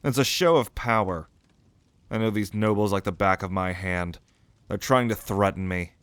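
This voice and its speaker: A man's voice: fairly low pitch, normal speed, a bit bright, clear, resonant tone, no room sound, natural voice. A man playing a cocky, sardonic young prince at a mage academy in an audio roleplay, with a swaggering, theatrical manner. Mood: alarmed, deducing. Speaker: ASMR roleplay voice actor.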